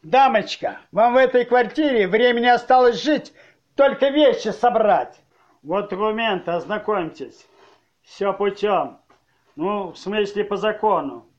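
An amateur actor playing a brusque, cynical thug of a debt enforcer in an audio play, blunt and slangy. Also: male voice, high-pitched, unhurried, lo-fi sound. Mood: brusque, mocking.

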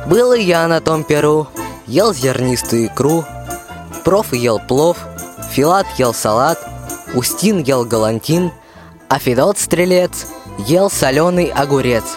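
A man's voice: a little high, expressive, slow. A young man playing a self-mocking fool of a storyteller, narrating a fairy tale in folksy, jesting rhyme. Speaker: narrator in a school radio play. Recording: jingling festive music under the voice. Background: jingling tinkling music.

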